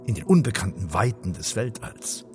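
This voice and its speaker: Commander, male, played by an actor: lowish voice, grandiose and macho, radio drama over prominent music. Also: expressive, moderate pace, natural voice. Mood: grandiose, reflective. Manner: grandiose, declamatory. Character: pompous male spaceship commander, macho, brooding. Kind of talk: German radio play acting.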